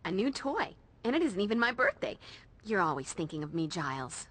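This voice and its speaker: A woman playing a brave, sardonic young vampire slayer in a video game, with a slightly husky voice. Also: mid-range voice, big pitch swings, a little fast, fairly bright tone. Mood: flippant. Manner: sardonic, quippy. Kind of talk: video game cutscene acting.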